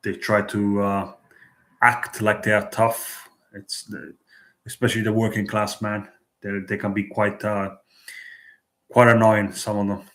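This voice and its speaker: A man livestreaming at night, chatting in a hesitant, halting way with many restarts and fillers. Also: on the low side, little pitch movement, fairly bright tone, reverberant. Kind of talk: late-night street livestream chat. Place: out at night in a city.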